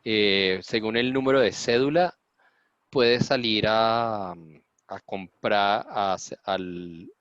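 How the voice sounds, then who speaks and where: A man's voice: fairly low pitch, slow pace, fairly bright tone, no echo. A man with a Colombian accent, a native Spanish speaker, chatting casually on a video call. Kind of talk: casual chat. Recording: dull video call audio.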